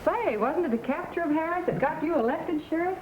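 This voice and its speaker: A woman's voice: high voice, expressive, brisk. An actress playing a chatty, inquisitive woman at a western eatery in an old-time radio show, with a breezy manner. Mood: breezy, curious. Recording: dull, noisy old radio recording.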